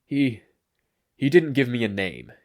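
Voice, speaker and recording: A man acts out a traumatized older hero from a story. He speaks in dramatic, halting bursts, shaky and full of wounded dread. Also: medium pitch, very animated. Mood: halting, uneasy.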